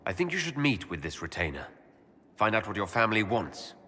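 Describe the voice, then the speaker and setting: A calm protective hero leader in a game, lowish husky voice, lots of hall echo. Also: male voice, brisk, a little hiss or hum. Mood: frank. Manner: plain-spoken, direct. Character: calm, steady, protective male fantasy leader. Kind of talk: dramatic video game acting. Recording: long hall reverb.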